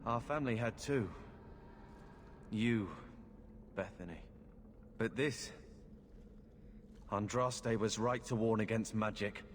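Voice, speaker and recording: An actor playing a troubled, earnest warrior in a fantasy video game, brooding and defensive. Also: male voice, on the low side, slow pace, pauses often. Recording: hall reverb, noisy ambience.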